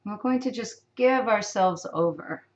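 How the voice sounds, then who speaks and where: Slow, soothing woman guiding meditation. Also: medium pitch, a little mellow, dull audio. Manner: soothing, unhurried, with long pauses. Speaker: meditation guide. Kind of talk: guided meditation.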